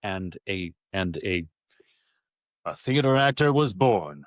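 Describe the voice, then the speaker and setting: A man's voice: deep voice, animated, slow, no room sound, clean studio sound. A middle-aged man telling stories casually as an interview guest, in a rambling, effusive way. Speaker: interview guest, stage actor. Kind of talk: casual conversational storytelling.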